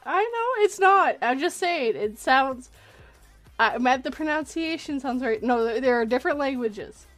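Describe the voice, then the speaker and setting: A woman's voice: high voice, animated, normal speed, fairly bright tone, faint music behind. A woman with a Canadian accent chatting casually and jokingly on a livestream.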